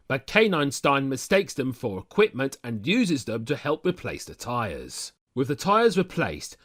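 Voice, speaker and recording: A man's voice: medium pitch, a bit bright. A man reading scripted narration, retelling a cartoon adventure episode as a storyteller. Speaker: narrator of an animated-series recap.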